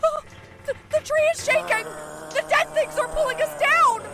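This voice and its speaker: A woman's voice: very high-pitched, normal speed, heavy background noise. A woman acting a frightened, stammering survivor of the undead in an audio horror drama, pleading and full of doubt. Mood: panicked.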